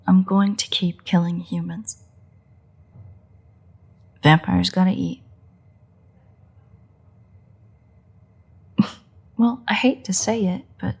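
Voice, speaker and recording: A woman playing a playful, sardonic, bloodthirsty but secretly caring vampire in a roleplay audio drama, with wry, husky, often breathy delivery. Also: fairly low pitch, darkish tone, heavily acted. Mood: taunting, defiant. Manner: wry, teasing, unhurried delivery with pauses.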